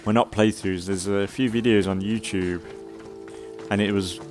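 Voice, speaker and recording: A man giving casual, rambling commentary as a let's play host, thinking aloud in a halting way and sounding slightly congested. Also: on the low side, steady tone, music in the background. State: slightly congested from an oncoming cold.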